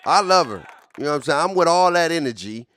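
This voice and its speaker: A man's voice: a little high, expressive, fast talker, fairly bright tone. A man in his late forties streaming casually, repeating himself with hype and constantly talking to his chat. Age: 40s. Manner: repetitive, hyped, keeps addressing chat.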